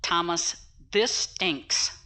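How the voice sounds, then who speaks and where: Actress as low-voiced, blunt woman military lawyer. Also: extremely slow, bright, forceful tone, exaggerated voice. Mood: blunt, impatient. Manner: blunt, clipped, dry. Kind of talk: audio drama acting.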